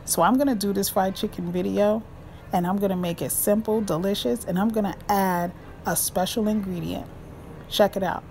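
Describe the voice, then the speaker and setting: A woman's voice: medium pitch, fairly even intonation, normal speed, creaky, darkish tone, a little hiss or hum. Upbeat woman narrating a home recipe. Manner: upbeat, chatty, speaks straight to viewers. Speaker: cooking blogger and channel host. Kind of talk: casual recipe voice-over. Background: music.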